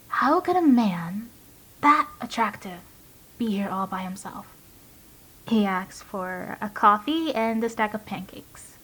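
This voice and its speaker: A woman playing a gentle, earnest young waitress, confiding hesitantly in an audio drama monologue. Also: medium pitch, natural voice, rough recording. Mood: fond, dreamy.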